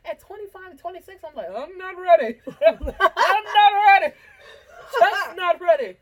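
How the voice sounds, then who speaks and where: A woman's voice: very high-pitched, expressive, normal speed. A young woman with a congested, stuffy nose, chatting casually and teasing on a podcast. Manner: teasing, laughs often. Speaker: podcast co-host. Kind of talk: casual chat.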